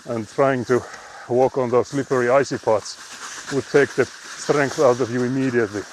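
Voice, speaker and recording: A man's voice: medium pitch, steady tone, moderate pace, a little hiss or hum, rough recording. A man with a Finnish accent, chatting casually and laughing often while live-streaming on a frozen lake. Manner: chatty, laughs often, reads chat aloud.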